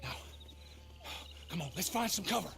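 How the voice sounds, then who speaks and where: High-voiced, earnest young outlaw. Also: male voice, expressive, moderate pace, vocal fry, fairly bright tone, a little hiss or hum, full character voice. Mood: eager. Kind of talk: dramatic game cutscene acting.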